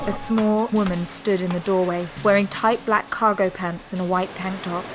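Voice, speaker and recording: A woman narrating a scripted audio drama in a dramatic, measured storytelling manner. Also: mid-range voice, steady tone. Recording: music bed behind narration. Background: music.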